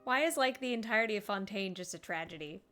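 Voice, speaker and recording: A woman streamer talks casually in a middle-pitched voice while game music plays behind her. She drops wry, sarcastic remarks as she goes. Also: lively intonation, quick pace, fairly bright tone. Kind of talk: casual live commentary over a game. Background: video game music.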